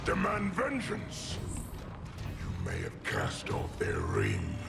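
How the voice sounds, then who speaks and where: A man's voice: extremely low pitch, wildly swooping pitch, slow pace, vocal fry, full character voice. A man playing a menacing, wrathful alien leader in a video game, speaking in a grandiose, declamatory way. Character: wrathful alien leader of rage-fuelled warriors. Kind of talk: dramatic video game villain acting. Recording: loud game music behind.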